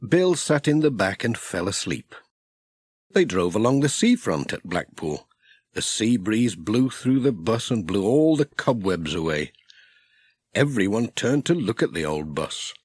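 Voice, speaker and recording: A man narrating a children's story in an expressive, scripted storytelling manner. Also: lowish voice, measured pace, breathy edge, no room sound. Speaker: audiobook narrator.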